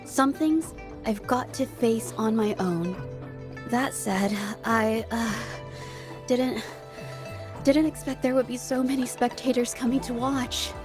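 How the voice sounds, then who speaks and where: A woman's voice: on the high side, fairly even intonation, slow pace, over music. A female actor playing a shy, earnest young artist with a hesitant, timid, polite way of talking. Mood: nervous, grateful.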